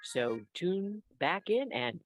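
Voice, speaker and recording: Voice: medium pitch, big pitch swings, slow. An announcer reading a scripted sign-off in vintage radio style. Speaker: show announcer. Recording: loud music under the voice. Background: prominent music.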